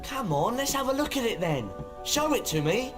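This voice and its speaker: An actor playing a blunt, excitable schoolboy wizard in a video game, with an English accent. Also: male voice, extremely high pitch, normal speed. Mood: casual. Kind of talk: video game character acting. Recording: loud game music behind the voice.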